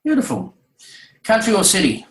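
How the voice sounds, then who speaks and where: A man's voice: sits high, wildly swooping pitch, moderate pace. A man interviewing casually, complimentary and a little hesitant as he phrases things. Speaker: guest interviewer. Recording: roomy, echoing sound.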